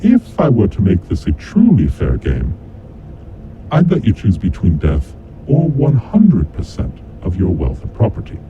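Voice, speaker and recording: Menacing, theatrical kidnapper played by a man, swooping pitch. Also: wildly swooping pitch, heavily acted. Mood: accusing, vengeful. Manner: theatrical, deliberate, formal, taunting. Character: menacing male kidnapper mastermind, coldly vengeful game announcer. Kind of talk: scripted horror monologue audio drama. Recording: dull lo-fi audio with clear rumbling noise.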